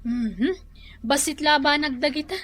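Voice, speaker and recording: A woman's voice: high voice, measured pace, a bit bright, no room sound. An actress playing the old farmer's caring daughter who soothes and nags him about his health. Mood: nagging.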